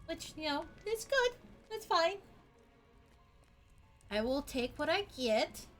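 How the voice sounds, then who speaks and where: Slow, relaxed woman streamer, high voice. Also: soft background music. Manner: relaxed, chatty, talks to viewers and game enemies. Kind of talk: casual game stream chat.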